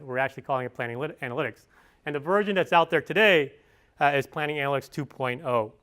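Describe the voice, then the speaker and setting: Chicago-accented man presenting to a room, fast and loose, filler-heavy, a touch high-voiced. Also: a bit bright. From Chicago area. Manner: fast-talking, filler-heavy, asks audience questions. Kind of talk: conference presentation. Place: conference session room.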